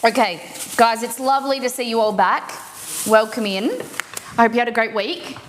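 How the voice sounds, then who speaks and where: A woman's voice: a little high, normal speed, slight background noise. A young Australian woman hosting a live cooking stream, chatting casually with fillers as she cooks in a home kitchen. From Perth, Western Australia.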